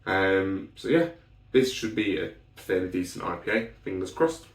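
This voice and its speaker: A man with a low voice talks through a beer advent calendar in a loose, rambling way, full of ums and restarts. His voice is still recovering after being unwell. He sits a little back from the mic in an echoey room. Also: normal speed, distant mic. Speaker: beer reviewer. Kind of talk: casual solo beer review.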